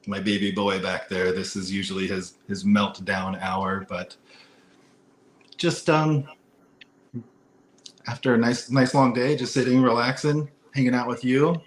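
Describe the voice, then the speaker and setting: A relaxed man speaks as a guest on a livestream, somewhat low in pitch. His room is echoey, and faint noise sits under him. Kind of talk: casual interview chat.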